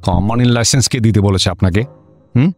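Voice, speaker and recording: An actor playing an officious, impatient district magistrate in a comic radio drama, curt and full of questions. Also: male voice, fairly low pitch, fairly quick, a little mellow, soft background music, close to an everyday voice.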